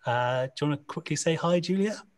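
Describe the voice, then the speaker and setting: A man moderating a live panel discussion over a video call, chatty and quick to laugh. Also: on the high side. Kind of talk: casual panel hosting.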